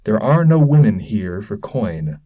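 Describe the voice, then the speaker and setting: Guy doing a nasty scornful demon bossing sinners around, husky, big swings in pitch. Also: sing-song, a little slow, no room sound, narrow, tinny audio, exaggerated voice. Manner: harsh, commanding.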